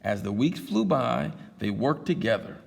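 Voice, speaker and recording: A man reading a picture book aloud at a library storytime, pausing for chatty asides to his listeners. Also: medium pitch, sing-song, vocal fry, slightly rough, in a large hall. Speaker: storytime reader.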